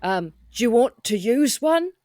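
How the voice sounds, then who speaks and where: A woman's voice: on the high side, very animated, a little slow, a little mellow, dry recording, studio quality, pitched above the performer's own voice. A woman playing a deferential hospital assistant in a comic roleplay, matter-of-fact, polite and a little hesitant.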